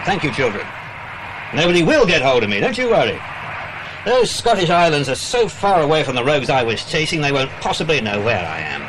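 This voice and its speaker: A hearty, protective detective in a children's audio drama, with a fairly high male voice and hugely animated intonation. He reassures his young friends over vehicle noise. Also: brisk. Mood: reassuring.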